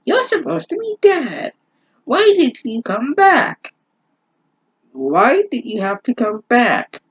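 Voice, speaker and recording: A man voicing a defiant young woman assassin in a melodramatic falsetto, reading a story aloud. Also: huge pitch swings, takes it slowly, fairly bright tone, slightly rough, highs cut off, heavily acted. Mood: shocked, anguished.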